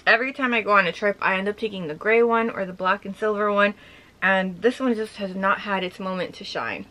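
A young woman chatting casually as she shows off items from her collection, gushing with lots of filler words and a slightly hoarse voice. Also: medium pitch, steady tone, fairly quick, sharp tone, rough recording. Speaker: vlogger showing her collection. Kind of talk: casual show-and-tell chat.

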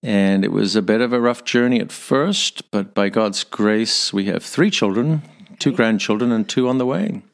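A man's voice: on the low side, animated, normal speed, gravelly. An older man from Northern Ireland with a blended Irish and international accent, telling stories as a podcast guest in a chatty, humorous way. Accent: Northern Irish, mixed with an international accent. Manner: chatty and humorous, repeats words as he starts a thought. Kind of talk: conversational storytelling.

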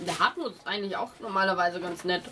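A woman's voice: on the low side, fast, recorded from a distance, noisy background. A woman narrating a tabletop role-playing game casually, as the game master.

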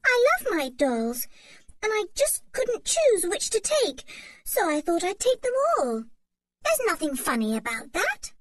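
A woman reading aloud, voicing an eager, excitable little girl in a children's storybook. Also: very high voice, measured pace, dry recording, studio quality, pitched above the performer's own voice, exaggerated voice.

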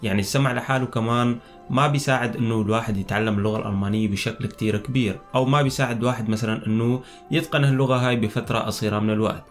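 A young man hosts a language-learning video at a very quick pace. He has a Syrian accent and Arabic as his native language. Light music plays behind his mid-pitched voice. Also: fairly even intonation. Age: young adult. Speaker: host of a language-learning channel. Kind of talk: video presenting and interviewing. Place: small indoor room.

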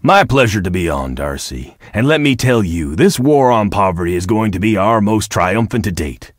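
An actor playing a proud, boastful army general interviewed in a parody newscast. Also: male voice, fairly low pitch, lively intonation, moderate pace, no room sound, clean studio sound. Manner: pompous, boastful.

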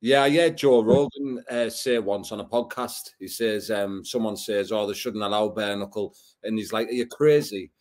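A man's voice: on the low side, steady tone, moderate pace, hardly any pauses, a little mellow. A man in his thirties hosting a casual interview over a video call from an indoor room, asking questions in a friendly, easygoing way. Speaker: interviewer host.

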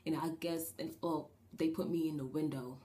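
A young woman from New Jersey with a raspy, slightly shaky voice, rambling through a casual storytime vlog with lots of fillers. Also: deep voice. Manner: rambling and animated, with many fillers and quick gestures. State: shaky voice after a breathing treatment. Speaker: vlogger. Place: small bedroom, indoors. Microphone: webcam at desk distance.

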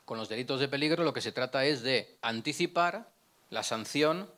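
A man's voice: medium pitch, moderate pace, fairly bright tone. A middle-aged man giving a conference lecture as a prosecutor, holding a microphone, explaining step by step and asking himself questions, with frequent restarts. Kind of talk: lecture with slides. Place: conference room, indoors. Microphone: handheld microphone.

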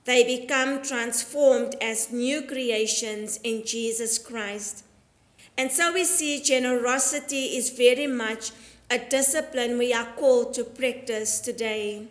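Slow-paced woman preaching. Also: on the high side. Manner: measured, deliberate, earnest. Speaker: Anglican priest preaching. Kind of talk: sermon, read from prepared text. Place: inside a church, at the altar. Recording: echoey church acoustic.

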